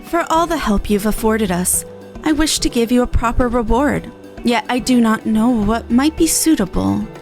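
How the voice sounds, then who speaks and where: A woman's voice: on the high side, expressive, moderate pace, darkish tone. A woman voicing a gracious, formal festival envoy in a fantasy game, with courteous old-fashioned phrasing. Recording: game music behind the voice.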